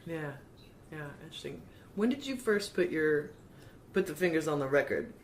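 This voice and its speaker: A man's voice: sing-song, moderate pace. A man hosting a podcast interview, asking short questions and giving brief affirming replies. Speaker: podcast host. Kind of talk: interview, mostly brief backchannels. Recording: distant, echoey, with faint rumble.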